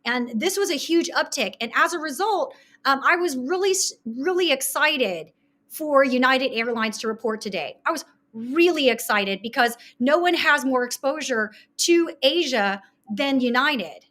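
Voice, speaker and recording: Woman, high-pitched voice, investor commentary, informal slide walkthrough, emphatic, opinionated. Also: lively intonation, a little slow, fairly bright tone. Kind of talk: informal market analysis over shared slides.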